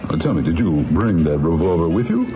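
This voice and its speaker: Deep-voiced defense attorney in a radio play. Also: male voice, very animated, music in the background. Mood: measured, probing. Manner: measured, questioning. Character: probing, reassuring male defense attorney. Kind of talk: 1970s radio drama acting. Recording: muffled, phone-like old radio broadcast.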